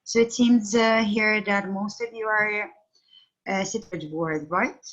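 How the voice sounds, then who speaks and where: A woman's voice: medium pitch, steady tone, slow, a bit bright. A middle-aged woman teaching an online English class over a phone video call, patiently questioning her students. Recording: dull lo-fi call audio.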